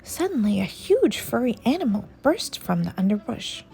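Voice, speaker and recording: A woman reading a children's story aloud as an expressive storyteller. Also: fairly high pitch, normal speed, vocal fry. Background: soft music.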